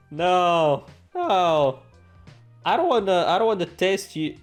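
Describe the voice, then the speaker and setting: A man hosting a let's play, reading game text aloud in a playful, theatrical way with joking asides. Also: high voice. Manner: playful, theatrical, exclaims and jokes to himself. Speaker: gaming video host. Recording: music behind the voice. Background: game music.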